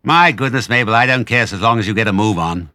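A man's voice: lowish voice, fast, pushed and bright, no echo, studio quality. A man voicing an impatient, bossy farmer's wife with a snappish, commanding manner in a storybook.

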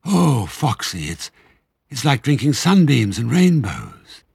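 A hearty, excitable storybook badger, voiced by a man. He is emphatic and exclaiming, with big swoops of pitch and a husky tone. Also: normal speed, dry recording, studio quality. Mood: rapturous. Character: excitable, hearty male badger who loves cider.

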